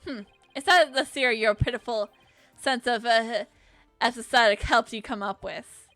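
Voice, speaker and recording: A woman reading the lines of a cool, haughty male prosecutor from a courtroom game, in a measured, cutting way. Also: a little high, fairly bright tone, no room sound, faint music behind. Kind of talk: reading game dialogue aloud.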